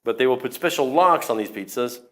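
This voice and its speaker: A man reading a short, simple news story aloud in a plain, measured way. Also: mid-range voice, lively intonation. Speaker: news reader.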